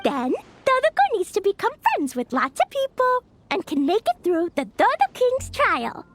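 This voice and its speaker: A woman's voice: extremely high pitch, very animated, normal speed, faint music behind. A woman playing an innocent, eager little girl in a video game, earnest, bubbly and easily confused. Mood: excited.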